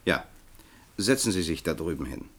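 An actor playing a brusque computer-centre boss in a German science-fiction radio drama, curt and commanding. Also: male voice, low voice, sing-song, moderate pace, fairly bright tone, exaggerated voice. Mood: stern, curt.

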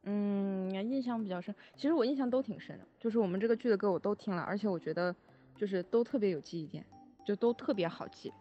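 Woman, mid-pitched, modest and hesitant casual talker, repeats words, faint music behind. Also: mellow, muffled recording. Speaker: music arranger for an audio drama. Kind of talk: casual chat.

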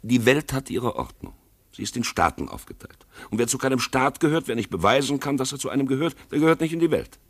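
An actor playing a brusque, dismissive police commissioner in a German radio play, curt and officious, with a gravelly, husky voice. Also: male voice, mid-range voice, very animated, fast talker, fairly bright tone, full character voice. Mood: lecturing.

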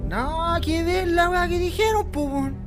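Actor as young, high-voiced slangy Chilean lad. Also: male voice, unhurried, over music. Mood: indignant. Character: drunk, slangy twenty-year-old construction worker from a shantytown. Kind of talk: amateur audio drama.

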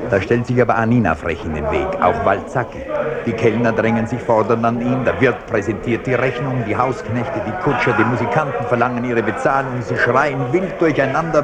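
A man narrating a scripted radio drama, reading stage action in the present tense. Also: fairly low pitch.

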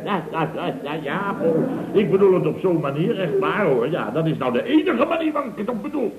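A man's voice: sits high, sing-song, fairly quick, a loud crowd. An actor playing a scheming, mock-innocent contractor boss in a 1960s radio comedy, with a Netherlands Dutch accent, stammering out repeated phrases as he insinuates. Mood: feigned jollity. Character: wheedling, scheming male building contractor boss. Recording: muffled old radio recording, roomy sound.

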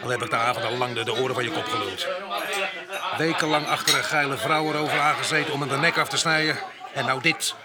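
A male actor playing a rough, bitter grape picker with a violent past in a Dutch radio drama, gruff, cursing and sardonic. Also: mid-range voice, steady tone, fast talker, close to an everyday voice. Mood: bitter, angry. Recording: busy crowd noise behind.

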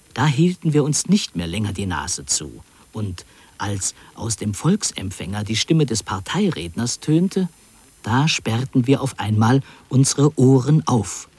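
A man playing a wry, reflective narrator recalling his wartime youth in literary radio drama, measured and lyrical. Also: medium pitch, slightly rough. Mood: vivid, lyrical.

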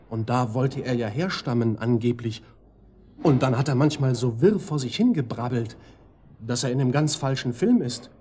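An actor playing a talkative psychiatric-ward patient informing the police in a German radio drama, rambling and colloquial. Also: male voice, mid-range voice, a little mellow, slightly rough.

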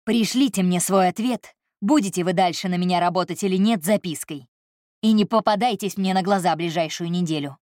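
A woman's voice: lowish voice, fairly quick, a little mellow, no echo, clean studio sound, close to an everyday voice. A woman voicing a domineering, hot-tempered wealthy employer who speaks curtly and coldly. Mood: furious. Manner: curt, commanding, cold.

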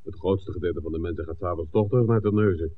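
An actor playing a wry, teasing screenwriter with drawling ironic asides in a 1960s Dutch radio drama. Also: male voice, low voice, quick pace, husky. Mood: puzzled, genial. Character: wry, teasing male screenwriter, good-humored companion. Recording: muffled, narrow old radio recording.

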